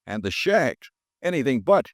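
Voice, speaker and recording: An actor playing a tired, wisecracking insurance investigator who also narrates in the first person, in 1950s radio drama style. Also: male voice, mid-range voice, sing-song, moderate pace, no echo, studio quality. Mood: narrating. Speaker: narrator and lead detective.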